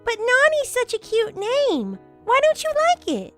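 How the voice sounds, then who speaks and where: Squeaky, teasing girlish pony mare. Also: wildly swooping pitch, measured pace, exaggerated voice. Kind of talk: cartoon fan fiction audio drama. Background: music.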